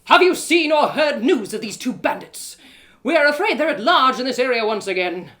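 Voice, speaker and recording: A woman voicing a commanding, suspicious town guard leader in a fantasy story, loud and declamatory. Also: high-pitched, very animated, normal speed, voice pushed up.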